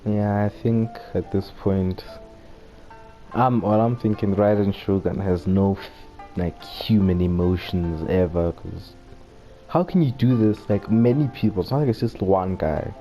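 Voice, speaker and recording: A man giving casual, low-key gameplay commentary as a gaming video host. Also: unhurried, dark tone. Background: faint game music.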